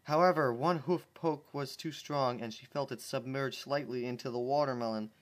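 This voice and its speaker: A man's voice: medium pitch, normal speed. Man reading aloud with sarcastic asides. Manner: sarcastic, exasperated running commentary. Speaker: channel host reading fan fiction aloud. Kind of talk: dramatic reading with comic asides.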